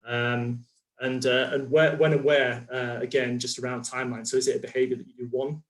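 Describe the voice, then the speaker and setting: A man's voice: mid-range voice, flat delivery, a little fast, low-quality recording. A man from the UK with a British accent, a postdoctoral researcher giving a conference presentation over a video call, explaining with frequent ums and uhs. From United Kingdom.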